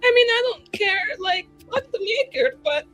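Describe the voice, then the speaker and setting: A woman playing in character at a tabletop roleplaying session, talking in an emotive, halting, casual way with some swearing, over background music. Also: extremely high pitch, a bit bright, poor audio. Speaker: tabletop RPG player. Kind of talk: in-character improvised dialogue at actual-play game. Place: online streamed game session.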